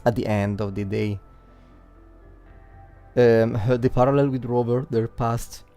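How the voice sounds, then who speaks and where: A male game streamer with a mellow, gravelly voice talks through his analysis live. He thinks aloud in a halting way, circling back over words, with faint music playing behind him. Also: mid-range voice, little pitch movement. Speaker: livestreamer.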